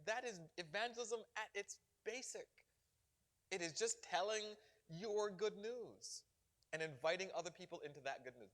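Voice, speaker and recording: Man, bishop, middle-aged, animated, laughing, slightly high voice. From Barbados. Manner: animated, laughs often, preacherly emphasis. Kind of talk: parish Q&A talk.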